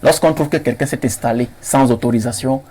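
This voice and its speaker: A man's voice: medium pitch, fairly quick, heavy background noise. A man with a Cameroonian accent arguing insistently as a panelist in a heated political debate, repeating phrases for emphasis.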